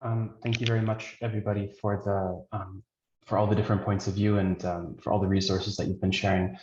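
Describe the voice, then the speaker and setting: A man's voice: lowish voice, little pitch movement, a little fast, a little mellow, slight background noise. A man asking a question in a webinar, polite and thoughtful with frequent ums, over a dull, echoey video call.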